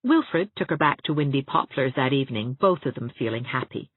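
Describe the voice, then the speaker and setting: A woman's voice: rumbling low, expressive, fairly quick, slightly rough, no echo, narrow, tinny audio. A woman narrating an audiobook story expressively from a script.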